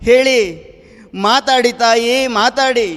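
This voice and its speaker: A man's voice: very high voice, flat delivery, slow, a bit bright, clear, resonant tone, exaggerated voice. A man playing an anguished villager in an audio drama, beseeching and lamenting. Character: anguished villager begging the rain goddess. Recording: long reverberant hall echo.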